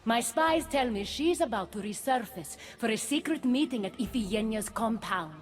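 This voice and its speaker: Casting: suspicious, regal goddess played by a woman, fairly high voice, game drama, faint music. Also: animated, normal speed, close to an everyday voice. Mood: brooding. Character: imperious, suspicious goddess queen of a mythic paradise. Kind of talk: video game voice acting.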